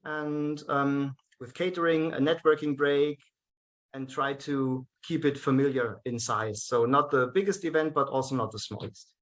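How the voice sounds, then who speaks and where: A man's voice: mid-range voice, fairly even intonation, moderate pace, fairly bright tone. A German man with a German accent, a guest speaking English as a second language in a casual video-call interview, hesitant, with frequent fillers and restarts. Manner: hesitant, many fillers and restarts, laughs often. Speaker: guest, event organizer.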